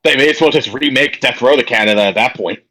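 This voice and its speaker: A man with a bright, forward voice at a middle pitch co-hosts a podcast. He talks about games in a casual, informal way. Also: fast. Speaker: podcast co-host.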